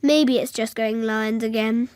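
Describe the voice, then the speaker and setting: A woman's voice: a little high, steady tone, unhurried, darkish tone, no room sound, natural voice. A female performer playing an imaginative, earnest little schoolgirl in a radio drama, rambling and literal-minded as she talks and narrates.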